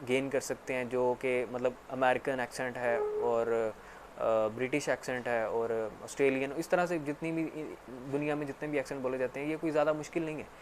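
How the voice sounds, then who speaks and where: Male tutor, mid-pitched, rambling casual lecture, faint hiss behind. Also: fairly even intonation, normal speed. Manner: repetitive, filler-heavy explaining with frequent "actually". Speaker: English teacher.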